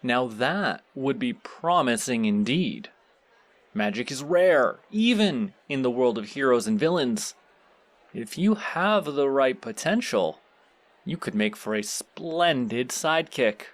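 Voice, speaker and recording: Guy acting as a polite supervillain boss in an audio roleplay, medium pitched male voice, formal and measured, explains stuff pleasantly. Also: animated. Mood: intrigued, measured.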